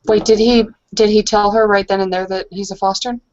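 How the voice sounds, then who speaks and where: A woman's voice: mid-range voice, fairly even intonation, fairly quick, darkish tone, dull audio. A woman narrating and chatting informally as a tabletop roleplay player, a little hesitant. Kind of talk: casual out-of-character chat and narration.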